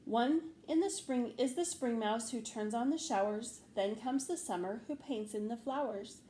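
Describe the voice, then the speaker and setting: A woman voicing a calm, dreamy little male field mouse poet in a storybook, speaking slowly and simply. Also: on the high side, roomy sound, a little hiss or hum, lightly acted. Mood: reciting. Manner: slow, deliberate, simple delivery.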